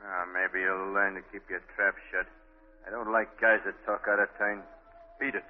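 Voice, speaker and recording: A man's voice: lowish voice, level tone, normal speed, a little hiss or hum, lightly acted. Man playing a curt, menacing New tough. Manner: curt, slangy, threatening. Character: hard, menacing streetwise tough man bullying kids. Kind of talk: 1940s radio acting. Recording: muffled, thin old radio recording.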